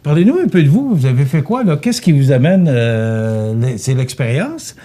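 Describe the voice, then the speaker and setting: Mellow-voiced Québécois TV host. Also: male voice, mid-range voice, sing-song, normal speed. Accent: Québécois French. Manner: friendly, chatty, with repeated words and fillers. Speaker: host of a local TV cooking segment. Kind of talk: casual TV interview.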